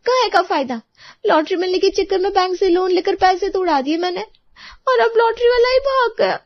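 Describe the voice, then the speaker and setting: A woman voicing a boastful, spendthrift neighbour in an animated family drama, showy and melodramatic. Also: very high-pitched, brisk, dry recording, highs cut off. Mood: panicked, wailing.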